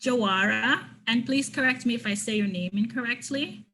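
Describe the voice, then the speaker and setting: A woman's voice: on the high side, moderate pace, bright, forceful tone, a little hiss or hum. A woman from Trinidad giving an online conference workshop, earnestly reading participants' comments and responding to each one. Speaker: conference presenter.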